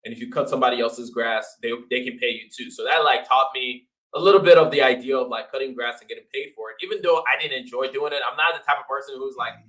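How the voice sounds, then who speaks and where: A man's voice: mid-range voice, quick pace, hardly any pauses, bright, forceful tone, low-quality recording. A man from Nigeria who moved to the States as a boy, chatting as an interview guest in an informal, slangy storytelling way. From Nigeria until age twelve, then the United States. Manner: informal, slangy, quoting himself and others. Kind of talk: casual storytelling chat.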